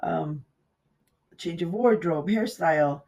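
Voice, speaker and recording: An astrologer with a raspy, hoarse voice from a cold, talking through a horoscope in a loose, rambling way, with the audio sped up. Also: mid-range voice, takes it slowly, a little mellow. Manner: rambling, talks loosely with asides. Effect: audio sped up in editing. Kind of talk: casual horoscope reading.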